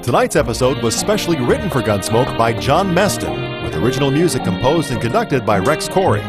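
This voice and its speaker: A man's voice: medium pitch, sing-song, a little fast, over loud music. A man announcing scripted credits in the style of 1950s radio.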